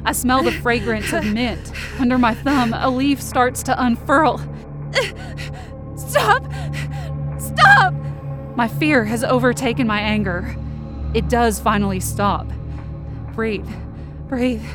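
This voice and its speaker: Casting: a woman as an angry, hurt young runaway narrating a fiction podcast, high voice, emotive, music underneath. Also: expressive, slow pace. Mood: tense, hurt, then pained, panicked. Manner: intense, emotive. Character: wounded, angry, defiant young woman fleeing home. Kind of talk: first-person present-tense dramatic narration.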